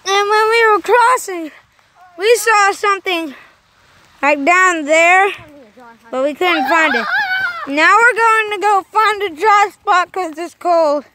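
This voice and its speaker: A woman's voice: very high voice, slow pace, slight background noise, poor audio. A young girl narrating a casual vlog while walking through rainy woods, filming on her phone. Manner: excited, chatty. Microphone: handheld phone.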